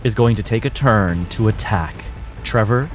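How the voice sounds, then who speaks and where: A man acting as game master, narrating combat in a measured, descriptive way. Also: fairly low pitch. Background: music.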